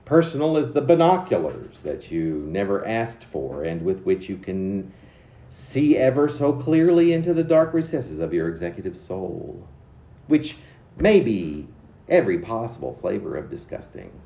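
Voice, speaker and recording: Low-voiced man reading poetry with swooping intonation. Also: sing-song, warm tone, echoey room. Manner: arch, mannered, halting delivery with dramatic emphasis. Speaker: poet reading own work.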